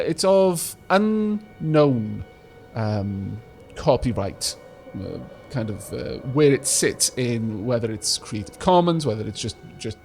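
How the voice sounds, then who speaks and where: A man hosting a let's play, chatting casually and explaining game mechanics with a slight rumble in his voice. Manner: chatty, explanatory, frequent restarts and fillers. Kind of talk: casual gameplay commentary.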